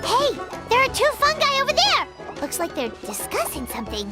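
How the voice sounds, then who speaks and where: Tiny childlike game companion, squeaky, excitable, very animated delivery, prominent music behind. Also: female voice, moderate pace, exaggerated voice. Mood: eager. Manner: refers to herself in third person, exclamatory. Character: small childlike floating girl companion, excitable and indignant. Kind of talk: video game voice acting. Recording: loud music underneath.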